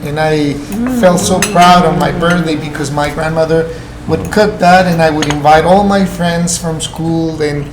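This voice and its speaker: A man's voice: on the high side, fairly even intonation, measured pace, few pauses. A man from Mexico with a Mexican accent, a chef talking casually in an interview, hesitant with many fillers. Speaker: chef and restaurant co-owner. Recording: echoey room with background noise.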